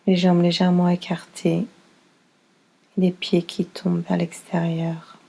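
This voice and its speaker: A woman leading a guided yoga nidra relaxation as instructor, soothing and unhurried, speaking in short phrases with pauses. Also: lowish voice, completely flat delivery, gravelly, warm tone, low-quality recording.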